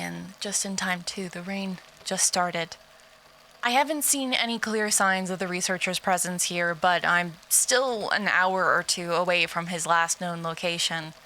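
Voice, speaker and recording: An actress playing an uneasy, wry field investigator in an audio drama log, speaking in a hesitant, musing, conversational way. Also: female voice, medium pitch, sharp tone, rough recording. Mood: weary, reporting. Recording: clear ambient noise behind.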